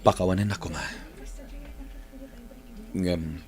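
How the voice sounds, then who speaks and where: A man's voice: sits low, fairly even intonation, takes it slowly, a little mellow. A man playing an apologetic, subdued young boyfriend in a radio drama, with an Ilocano Filipino accent. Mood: regretful, apologetic. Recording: radio drama under clear music.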